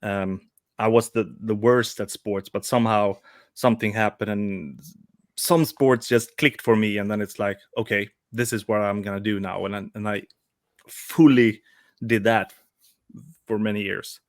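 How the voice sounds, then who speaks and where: A man's voice: fairly low pitch, fairly even intonation, measured pace, dry recording, studio quality. A man in his forties, a guest on a live stream, chatting casually with frequent restarts and hesitations. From Sweden. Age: 40s. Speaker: guest, toy customizer.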